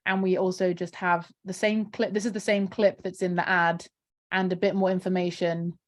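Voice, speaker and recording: A woman's voice: lowish voice, little pitch movement, normal speed. Woman, charity campaigner, casual chat, lots of fillers, video call. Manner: chatty, many fillers like "um" and "kind of". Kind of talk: casual video call interview, screen-share walkthrough.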